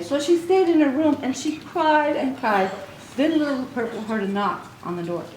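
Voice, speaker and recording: Echoey noisy room, high-pitched woman, children's storytelling, expressive read-aloud, asides to listeners. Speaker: children's author reading own book aloud. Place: book signing event in an echoey room. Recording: echoey room recording with clear noise.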